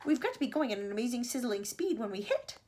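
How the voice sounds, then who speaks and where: Woman playing an excitable eccentric inventor man, pitched high. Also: quick pace. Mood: brisk, insistent. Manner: exclamatory, theatrical.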